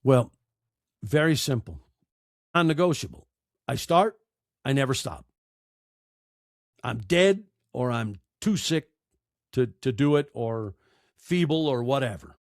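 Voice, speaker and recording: A man in his sixties with an American accent, giving reflective, earnest interview answers in short, emphatic, deliberate phrases. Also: medium pitch, expressive, no echo, studio quality. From United States. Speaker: podcaster and storyteller, interview guest.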